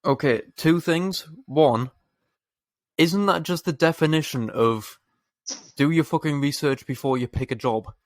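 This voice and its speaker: A man's voice: on the high side, measured pace, no room sound. Young male co-host, sweary rambling banter, dead-dry close mic. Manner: sweary, rambling, laughs while talking. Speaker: podcast co-host. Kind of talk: casual podcast banter.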